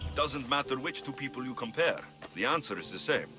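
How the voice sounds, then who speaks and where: An actor playing a principled, stern mercenary hero in a video game, speaking in a firm, measured, dryly wry way. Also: male voice, medium pitch, animated, lightly acted. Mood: dry, guarded. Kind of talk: video game dialogue acting. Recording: loud music behind the voice.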